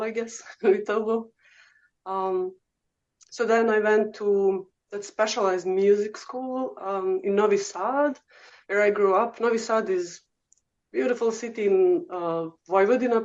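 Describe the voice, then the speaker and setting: A woman's voice: mid-range voice, a little mellow. Serbian-accented woman, muffled audio. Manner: chatty, frequent "um" and "uh", laughs easily. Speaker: guest oboe professor. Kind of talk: casual interview storytelling.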